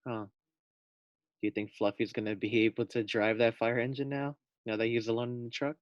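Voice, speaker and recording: A man's voice: mid-range voice, little pitch movement, fairly quick, no echo, lo-fi sound. A man reading a children's storybook aloud as a teacher, expressively, putting questions directly to young listeners.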